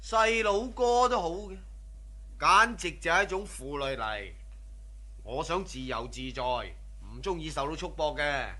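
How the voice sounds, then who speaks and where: An actor playing a boastful, scheming, worldly drug-running cousin in a radio drama. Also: male voice, fairly high pitch, animated, unhurried, pushed and bright, heavily acted. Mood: cocky, carefree. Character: swaggering, scheming drug-running cousin, a carefree womanizing man. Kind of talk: Cantonese radio drama acting.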